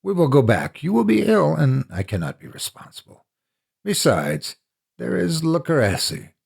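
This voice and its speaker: A man playing a cunning, vengeful gentleman in a gothic horror story, speaking with deliberate, flattering courtesy. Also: fairly high pitch, gravelly, husky, pitched above the performer's own voice, heavily acted. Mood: feigned concern. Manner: flattering, deliberate, falsely reluctant.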